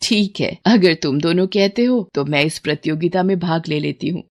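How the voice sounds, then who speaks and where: A woman voicing a weary, devoted middle-aged wife and mother in an animated moral story, speaking in an earnest, motherly way. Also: on the low side, normal speed, voice pushed down, natural voice. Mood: hesitant.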